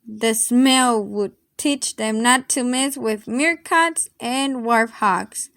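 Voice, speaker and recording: A woman reading a children's storybook aloud in an expressive, deliberate, dramatic way. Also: fairly high pitch, gravelly, no echo, clean studio sound.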